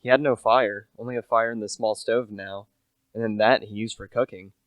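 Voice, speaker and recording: A man's voice: lowish voice, fairly even intonation, moderate pace, no room sound, natural voice. A young man acting a humble, chatty small-time salesman who narrates a radio play in a plain, confiding manner. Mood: matter-of-fact. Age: young adult. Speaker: narrator and lead character.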